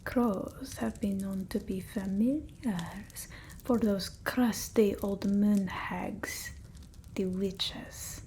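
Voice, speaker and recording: A woman voicing an eccentric centuries-old female vampire in an ASMR roleplay, speaking softly and often whispering, close to the mic. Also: fairly high pitch, a little hiss or hum. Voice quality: soft, breathy, often whispered. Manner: halting, theatrical, with quaint phrasing. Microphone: close ASMR microphone.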